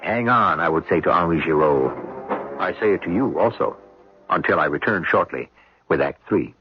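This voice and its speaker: A man's voice: sits low, lively intonation, moderate pace. A man hosting a radio mystery series, with a formal, theatrical delivery of scripted narration. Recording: dull old radio recording, faint music.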